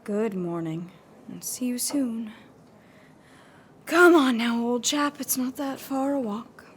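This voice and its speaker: High, husky, weary woman sculptor. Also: takes it slowly. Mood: pleading, tipsy cheer. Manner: theatrical, pleading. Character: weary, anxious woman sculptor, restless and insistent. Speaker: actor in a radio drama. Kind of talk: dramatic radio horror acting.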